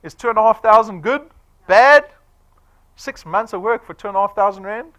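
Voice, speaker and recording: A man's voice: sits high, moderate pace, pushed and bright. A man of forty with a South African accent, giving a punchy sales presentation to an audience and firing off rhetorical questions. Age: 40s.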